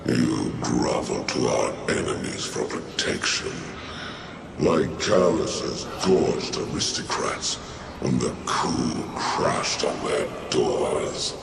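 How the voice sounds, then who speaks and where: Huge scary alien warlord phantom, super deep growly, slow n scornful, echoey game audio w lots of noise. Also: male voice, lively intonation, exaggerated voice. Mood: scornful anger. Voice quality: very deep, gravelly. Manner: slow, imperious, contemptuous. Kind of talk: dramatic video game voice acting. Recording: distant, echoing, heavy game noise.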